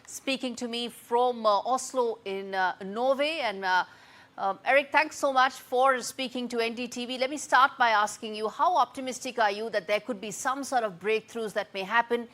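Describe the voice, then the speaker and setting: Brisk higher-pitched woman news anchor. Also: fairly bright tone. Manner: brisk, framing questions, some fillers. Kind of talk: news interview hosting.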